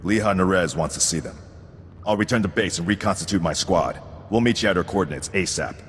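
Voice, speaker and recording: Man playing a stern military sergeant, deep, clipped, unflappable, video game, reverberant, background noise. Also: brisk, natural voice. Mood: crisp, dutiful. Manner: clipped military delivery, reciting figures coldly. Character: stern, unflappable male army sergeant who demands discipline. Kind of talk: video game cutscene acting. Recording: echoey hall, noisy ambience behind.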